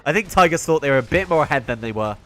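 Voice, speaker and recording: A man's voice: fairly high pitch, expressive, rapid-fire, a bit bright. A young British man giving solo play-by-play commentary on a live esports stream, chatting with his viewers between plays. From Britain. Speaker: esports caster.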